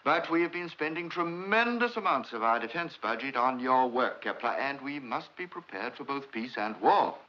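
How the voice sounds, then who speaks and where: A sceptical, authoritative male official in a 1950s film drama. His formal voice is sharp and forceful, with wide pitch movement. The soundtrack is muffled. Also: expressive, normal speed, few pauses. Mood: measured, grave. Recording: muffled old film soundtrack, faint noise.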